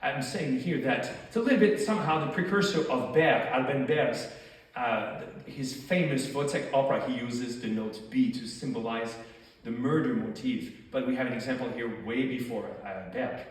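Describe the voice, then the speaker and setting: A man's voice: medium pitch, moderate pace. Man, thick French accent, lecturing, rhetorical questions, very roomy hall, distant mic. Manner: explanatory, animated, asks rhetorical questions. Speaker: doctoral lecturer. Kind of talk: academic lecture. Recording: echoey hall, far from mic.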